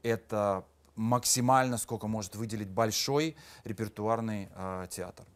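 A man's voice: lowish voice, measured pace. A middle-aged man, an actor and musician, answering interview questions thoughtfully with frequent hesitations. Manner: thoughtful, many hesitation sounds. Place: small studio with red curtain.

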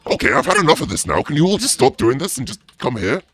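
A man's voice: sing-song, quick pace. A man streaming a horror game, swearing and mocking in theatrical live commentary. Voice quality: deep, gravelly, through a voice changer at times. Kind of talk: live gameplay commentary, trash talk.

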